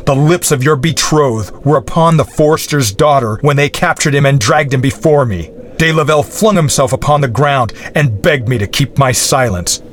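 Man doing a smooth, scheming baron. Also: medium pitch, normal speed, voice pushed up, lightly acted. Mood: menacing. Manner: smooth, courtly, menacing. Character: scheming, jealous middle-aged male baron.

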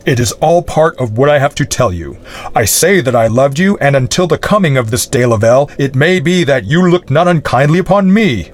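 A man's voice: mid-range voice, expressive, a little fast, pitched above the performer's own voice, lightly acted. A jealous, scheming baron, as a male narrator puts him on, courtly on the surface and menacing underneath. His voice sits above the reader's own, with a changed tone. He speaks in a spoken storybook.

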